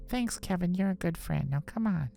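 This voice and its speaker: A woman's voice: on the low side, expressive, moderate pace, vocal fry, mellow, faint music behind. A woman voicing an impatient tween girl who is eager to fit in, coaxing and dismissive in a visual novel game. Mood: pleading, coaxing.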